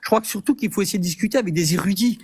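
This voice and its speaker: A man hosting a heated live debate, speaking in a measured way but pushing back firmly when interrupted. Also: sits high, husky. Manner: measured, insists on respect, pushes back firmly.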